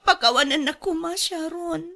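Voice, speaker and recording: Woman playing a high, pleading young Filipino woman. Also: creaky, pushed and bright, faint music behind, exaggerated voice. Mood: startled, pleading. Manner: halting, pleading. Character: guilty, pleading pregnant young woman caught cheating. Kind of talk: radio drama acting.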